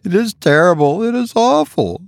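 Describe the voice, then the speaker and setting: A man reading an audiobook, voicing a distraught, vengeful wife with pleading, shrill outbursts. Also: expressive, moderate pace, vocal fry, dry recording, studio quality. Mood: desperate. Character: distraught middle-aged wife, vengeful and desperate. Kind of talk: audiobook character voice.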